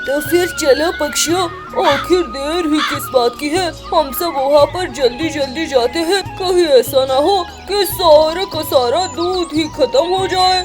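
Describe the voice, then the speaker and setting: An excitable male bird acted by a woman chatters in a high voice. He sounds eager and impatient, in children's cartoon style, with music clearly playing behind. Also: measured pace, hardly any pauses. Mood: excited. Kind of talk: Hindi children's cartoon dubbing.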